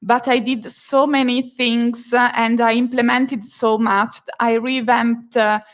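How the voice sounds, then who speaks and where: A woman's voice: a little high, flat delivery, measured pace. An Italian woman with an Italian accent, a freelance translator guest on a video call, answering hesitantly with many fillers and giggles. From Italy. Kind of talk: casual interview answers.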